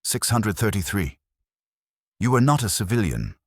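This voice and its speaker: A man's voice: lowish voice, very animated, moderate pace, husky, no room sound, studio quality, pitched above the performer's own voice. A man voicing a guarded, coldly dutiful female warrior nun in a story narration, speaking in terse, formal, controlled phrases. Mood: strained, resisting.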